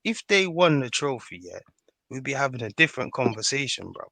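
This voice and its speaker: A man's voice: medium pitch, sing-song, a little fast, vocal fry, pushed and bright, no echo. Slangy man, dry close sound. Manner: slangy, argumentative, repeatedly checking listeners follow. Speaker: football podcast panellist. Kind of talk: casual football debate.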